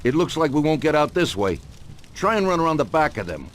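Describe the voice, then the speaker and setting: An actor playing a commanding older mob boss in a video game, hearty and vengeful, with blunt gangster talk. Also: male voice, fairly high pitch, very animated, a little fast, a little hiss or hum. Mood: shaken. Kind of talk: video game cutscene acting.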